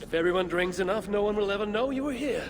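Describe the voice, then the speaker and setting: A man's voice: high voice, quick pace, slight background noise. A man playing a bold, competitive, teasing Viking warrior in a video game. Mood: reassuring. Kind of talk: video game cutscene dialogue.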